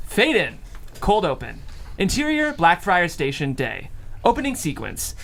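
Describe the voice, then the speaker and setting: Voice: medium pitch, creaky, fairly bright tone. A host presenting a studio table-read show and reading out script directions. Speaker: host and stage-direction reader. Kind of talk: studio talk show hosting and script narration. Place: studio, several mics around a table.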